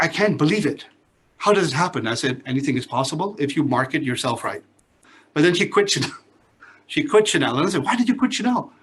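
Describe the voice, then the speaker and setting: A man's voice: on the high side, a bit bright. A middle-aged man with a Singaporean English accent, a business lecturer introducing himself to his class in a chatty, joking way. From Pakistan, grew up in Singapore. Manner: chatty, joking asides, checks in with "all right?".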